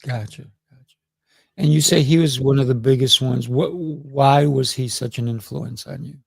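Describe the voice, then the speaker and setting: A man's voice: medium pitch, fairly even intonation, normal speed, gravelly, husky, no room sound. A middle-aged man hosting a podcast interview, chatty and encouraging, with lots of affirming interjections. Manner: warm, encouraging, with frequent affirmations. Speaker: podcast host. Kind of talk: conversational interview.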